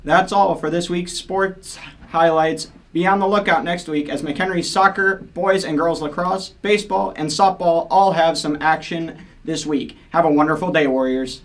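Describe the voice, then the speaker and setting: A teenage boy reading school sports highlights in a brisk, cheerful way. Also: fairly even intonation. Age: teens. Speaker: sports reporter.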